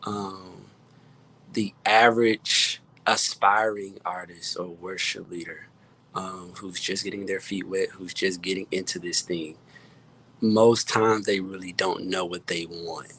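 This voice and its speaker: A man with an American accent talking casually as a guest music producer, halting and repeating words as he stresses his points. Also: low voice, steady tone, fairly bright tone, slightly rough, poor audio. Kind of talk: casual panel talk.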